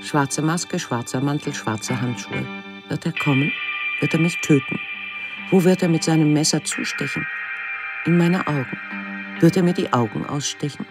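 An actress playing a middle-aged woman artist looking back on her life in a measured, wry radio drama monologue. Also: low-pitched, over music, close to an everyday voice. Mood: dark, brooding. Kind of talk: literary first-person radio drama monologue.

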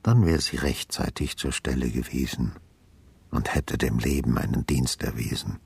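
An actor playing a brooding, sardonic retired homicide detective, narrating a literary radio drama with deliberate, inner-monologue delivery. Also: male voice, a little mellow, dry recording, full character voice. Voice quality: husky, low.

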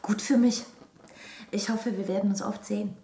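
An actress playing an anxious, trusting young wife in a German radio drama, speaking politely and a little hesitantly. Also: female voice, medium pitch, steady tone, moderate pace, mellow, roomy sound, a little hiss or hum, lightly acted. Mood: polite, composed.

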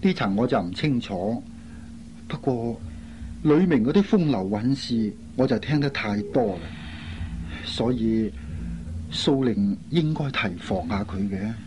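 A man's voice: mid-range voice, lively intonation, a little slow, darkish tone, natural voice. An actor playing an earnest, concerned airline crewman in a radio drama. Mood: grave, cautious. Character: earnest, concerned man, a flight crew colleague. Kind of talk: Cantonese radio drama acting. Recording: dull lo-fi recording, faint noise.

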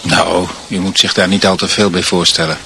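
A modest, reserved priest in a radio play. His voice is low and tense, his phrases short and hesitant, over faint ambient noise. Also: level tone, moderate pace, vocal fry, pushed and bright, full character voice. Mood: guarded. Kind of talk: radio drama acting.

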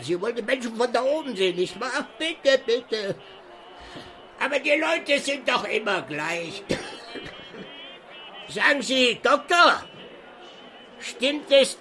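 A man's voice: extremely high pitch, expressive, slow pace, sharp tone, faint music behind, heavily acted. An actor in a radio play voicing a cheerfully cynical, wheezy old asthmatic man who philosophizes and chuckles. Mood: amused, defiant. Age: older. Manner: philosophizing, chuckling, abrupt swings in volume.

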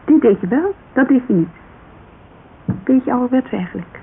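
A candid, slightly wistful Dutch woman in her thirties for a radio play. She talks colloquially and hesitantly, with a creaky edge to her voice. The recording is thin and narrow, with noticeable hiss. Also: on the high side, expressive, moderate pace. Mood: reflective, candid. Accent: Dutch, Netherlands. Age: 30s. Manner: hesitant, colloquial, searching for words. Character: thirty-three-year-old Amsterdam model agency owner, guarded, wistful, candid. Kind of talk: naturalistic radio drama dialogue.

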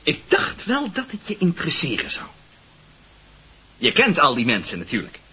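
A man playing a teasing, worldly schoolmate in a radio drama, with a husky voice and a drawling, mocking, pompous manner. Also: fairly high pitch, huge pitch swings, normal speed, sharp tone, heavily acted. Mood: wry, teasing.

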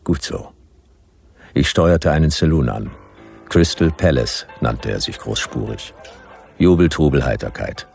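An actor playing a hardboiled, laconic detective who narrates drily and deadpan in a radio play, with a gravelly voice. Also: male voice, extremely low pitch, unhurried, long pauses, faint music behind, full character voice. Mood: dry, deadpan. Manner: dry, deadpan. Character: hardboiled laconic male detective narrating his Western ordeal. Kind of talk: radio play first-person noir narration.

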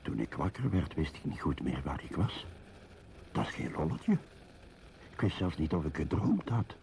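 An actor playing a brooding, lonely middle-aged husband in a radio drama, musing and questioning himself wryly. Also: male voice, a bass voice, sing-song, moderate pace, vocal fry, a little mellow, a little hiss or hum, full character voice. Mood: dazed. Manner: musing, self-questioning, wry.

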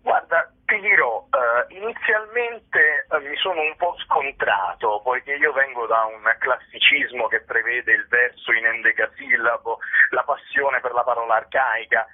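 A man's voice: mid-range voice, measured pace, husky. A man giving an explanatory radio interview as a guest, with literary, rhetorical phrasing. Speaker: playwright and director, interview guest. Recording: tinny, narrow telephone line.

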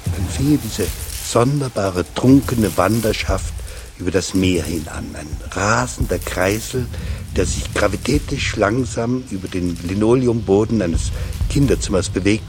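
A man reading a literary text for a radio feature in a measured, scripted delivery. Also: fairly low pitch. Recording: music behind the voice. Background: music.

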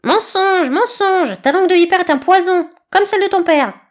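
A woman voicing an indignant male baby eaglet in a storybook, shrill and squawking. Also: moderate pace. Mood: outraged.

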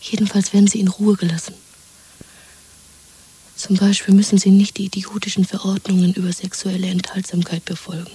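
Dreamy young woman plotting escape with her lover: very breathy whisper, soft mellow tone, coaxing and intimate, radio play. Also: mid-range voice, level tone, fairly quick. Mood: eager, dreaming. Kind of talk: 1970s German radio drama acting.

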